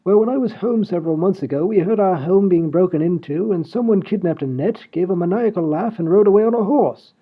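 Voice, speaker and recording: Man playing a fussy high-voiced lord, breathy, polite, radio play, dull lo-fi sound. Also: brisk, hardly any pauses. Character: prim upper-class English lord, polite, fussy. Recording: dull lo-fi audio.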